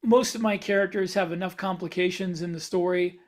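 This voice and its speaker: A man's voice: sits high, steady tone, brisk. A man speaking as an author on a casual podcast panel, explaining things while searching for the right words.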